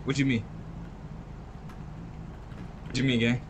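A man's voice: mid-range voice, little pitch movement, slow, background noise. A man chatting casually in heavy slang as he plays an online game. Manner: slangy, repeats "you feel me". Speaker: fellow player in online game. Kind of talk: casual chat while gaming.

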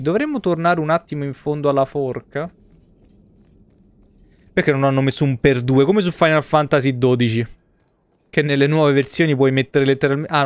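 A man's voice: mid-range voice, clean tone, no echo. A man, giving informal live game commentary and reading game text aloud on a close microphone. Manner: informal, mild exclamations. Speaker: video game streamer. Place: small indoor streaming setup.

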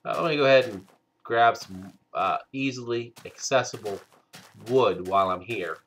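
A man hosting a game let's play, stuffed up from a cold, talking slowly with many hesitant fillers. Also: mid-range voice, fairly bright tone, a little hiss or hum. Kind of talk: casual gameplay commentary.

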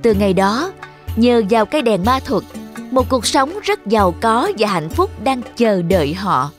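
A woman's voice: fairly high pitch, animated, moderate pace. A woman reading scripted children's story narration as the narrator. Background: music under the voice.

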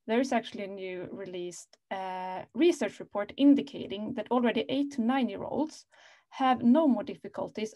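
A woman's voice: mid-range voice, warm tone, dry recording. A woman in her thirties answering a panel question over a laptop video call, earnest and full of "like" fillers. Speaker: panelist, company operations head.

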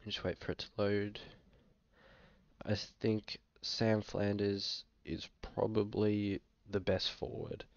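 Young Aussie bloke, under the weather, flat and low, fry in the voice, dull webcam sound; relaxed, hesitant delivery. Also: male voice, takes it slowly, no room sound. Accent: Australian. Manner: laid-back, frequent fillers, hesitant. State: sick, occasional coughing. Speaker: fantasy football vlogger. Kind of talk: casual screen-share commentary. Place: small room indoors.

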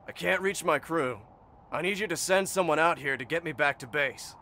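An actor playing a blunt, hot-tempered stranded space soldier in an audio drama, combative and defiant. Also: male voice, on the high side, normal speed, bright, forceful tone, slight background noise. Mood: demanding.

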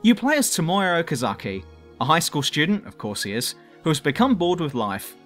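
Cheeky man narrating, lively swooping pitch. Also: a little fast, soft background music. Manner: cheeky, irreverent, swearing. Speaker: host and reviewer of a top ten list show. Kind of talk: scripted countdown review voice-over.

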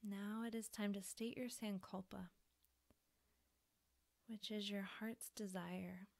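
A woman softly guiding a yoga relaxation meditation in a breathy, soothing manner with pauses. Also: medium pitch, fairly even intonation. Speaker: yoga nidra teacher.

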